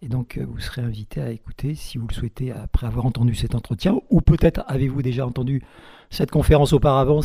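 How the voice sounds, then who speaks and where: A man hosting a radio interview, with a very dark, gravelly and somewhat husky voice. He rambles through his introductions and fills his pauses with hesitations. The sound is dry, with no room around it. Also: medium pitch, no room sound. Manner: rambling, hesitant, many fillers.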